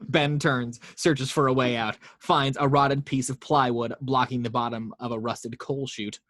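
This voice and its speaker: A man reading action lines aloud as narrator of a table read, in a steady storytelling way with small slips. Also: mid-range voice, measured pace, no room sound, studio quality.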